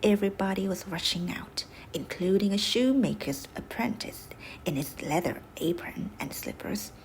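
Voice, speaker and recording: A woman tells a fairy tale for bedtime in a mid-pitched voice. Her delivery is expressive and dramatic, often sinking into a breathy hush. There is faint noise behind her. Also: poor audio. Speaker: storyteller.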